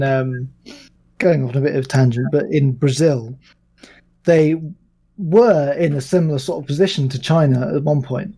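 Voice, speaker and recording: A man's voice: medium pitch, dark tone. A man in a casual podcast discussion, speaking tentatively and often correcting himself. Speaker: podcast panelist.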